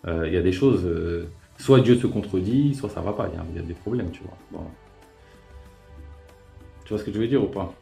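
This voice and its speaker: A man with a fairly low voice lays out his points step by step. He hesitates often and restarts his sentences, and faint music plays under the live-stream call. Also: fairly quick, vocal fry, pauses often, mellow. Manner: hesitant, many fillers and restarts, reasoning step by step. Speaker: host. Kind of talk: calm religious debate on a live stream. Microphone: remote live-stream call.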